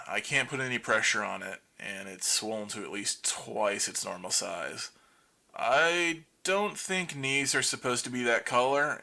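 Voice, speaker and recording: A man's voice: fairly low pitch, vocal fry, sharp tone, heavily acted. A man playing an injured, lonely survivor of a zombie apocalypse, confiding wryly and with swearing over a makeshift radio broadcast in an audio drama monologue. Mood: pained, weary.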